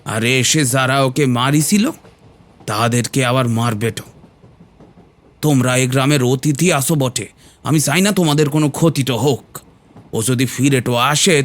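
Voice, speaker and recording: A man's voice: medium pitch, natural voice. A man playing an anxious, protective villager in an audio horror story, with a rural Bengali accent and an earnest, pleading manner.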